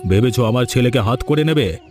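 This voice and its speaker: Man playing old cartoon father, dark low-lit tone, stern, commanding, quarrelsome, music underneath. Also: brisk, natural voice. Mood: angry, scolding. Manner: scolding, accusatory, commanding. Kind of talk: Bengali fairy-tale cartoon dubbing.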